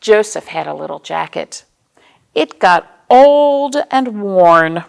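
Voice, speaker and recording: A woman's voice: mid-range voice, animated, takes it slowly, gravelly, clear, resonant tone. An American woman hosting a storytime for young children, reading aloud expressively and explaining things simply with questions to her listeners. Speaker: children's librarian, storytime host.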